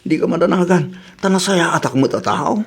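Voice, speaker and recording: An actor playing a boastful, scheming young suitor in a comedy radio drama. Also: male voice, a little high, fairly quick, vocal fry, slightly rough. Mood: smooth-talking. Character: boastful, scheming young suitor lying about his job.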